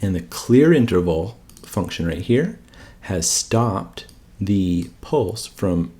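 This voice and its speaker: Casual low-voiced man teaching code. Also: darkish tone, a little hiss or hum. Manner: informal, often checks in with rhetorical questions. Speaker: presenter of a coding tutorial channel. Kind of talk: casual coding screencast tutorial, thinking aloud.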